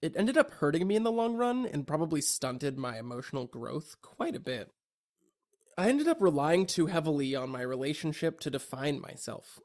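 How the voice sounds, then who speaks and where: A young adult man delivering a scripted, reflective personal monologue in an introspective, deliberate and earnest way. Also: fairly high pitch. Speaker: audio log narrator.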